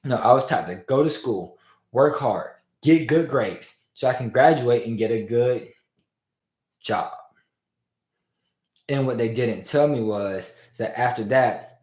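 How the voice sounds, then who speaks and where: A man hosting a casual livestream as a business coach, talking in an energetic, informal way to his viewers, with a strained voice. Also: medium pitch. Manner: energetic and informal, addresses viewers as "y'all" and "fam". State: strained voice after losing it. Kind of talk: casual motivational livestream talk. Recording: roomy echo.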